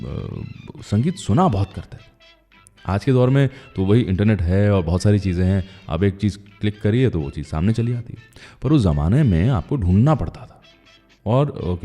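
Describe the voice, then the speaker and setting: A man's voice: lowish voice, animated, normal speed, dark tone, big-room sound, soft background music. A man hosting a radio show in a chatty, conversational storytelling manner, speaking directly to his listeners.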